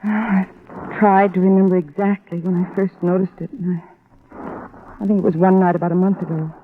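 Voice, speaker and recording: A woman's voice: on the low side, steady tone, close to an everyday voice. A woman playing a worried, hesitant wife of a missing detective in an old radio drama. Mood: uneasy. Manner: hesitant, halting. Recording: muffled old radio recording.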